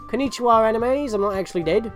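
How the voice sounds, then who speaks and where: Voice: mid-range voice, fast, faint music behind, natural voice. A game video host voicing a nonchalant anime character while reading a visual novel aloud.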